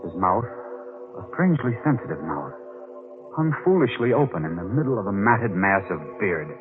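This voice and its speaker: An actor playing a haunted, obsessive newspaper reporter in an old-time radio mystery, brooding, halting and intense in his delivery. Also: fairly low pitch, big pitch swings. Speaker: narrator and lead character. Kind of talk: old-time radio mystery acting, dramatic first-person narration. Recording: muffled vintage radio recording with music.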